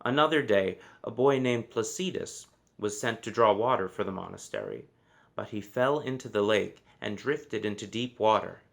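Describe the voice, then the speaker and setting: A man narrating a children's story from a book, with expressive, measured phrasing and deliberate emphasis. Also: fairly low pitch, gravelly. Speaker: storybook reader.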